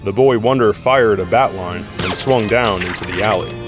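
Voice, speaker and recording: A man's voice: lowish voice, normal speed, soft background music. A man narrating an audio drama from a script, telling the story in the third person.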